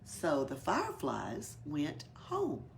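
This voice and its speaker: A woman's voice: deep voice, wildly swooping pitch, takes it slowly, gravelly, slight background noise. A woman reading a children's picture book aloud as a library storyteller.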